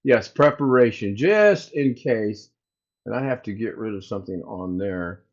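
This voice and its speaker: A man with a booming voice hosting a casual livestream, chatting with viewers and thinking aloud as he troubleshoots. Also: expressive, unhurried, dull audio, crowd noise. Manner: rambling, thinks aloud while fixing tech. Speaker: livestream host. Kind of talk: casual live chat with viewers.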